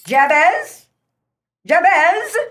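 A woman acts a domineering battle-axe wife in a radio comedy. She speaks slowly and haughtily, with sweeping, commanding intonation. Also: very high voice, sing-song, a bit bright, a little hiss or hum, heavily acted. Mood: outraged, scolding. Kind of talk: radio play acting.

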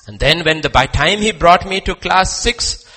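A man from India delivers a sermon in an Indian accent. His voice is sharp, forceful and slightly high for a man. He declaims loudly, repeats himself for emphasis, and pulls back into quieter, confiding asides. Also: animated, moderate pace. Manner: emphatic, rhetorical questions and repetition; swings from loud declamation to hushed asides. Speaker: preacher.

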